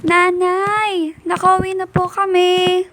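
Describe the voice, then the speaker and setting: Woman doing obedient little girl, high voice, homemade radio drama, faint noise. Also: little pitch movement, slow pace. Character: obedient little girl, youngest child in elementary school. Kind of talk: amateur radio drama acting. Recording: faint noise, clicks and clatter.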